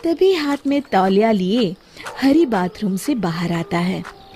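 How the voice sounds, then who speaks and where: A woman narrating a scripted children's story in a dramatic, expressive way. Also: medium pitch, takes it slowly, a little hiss or hum.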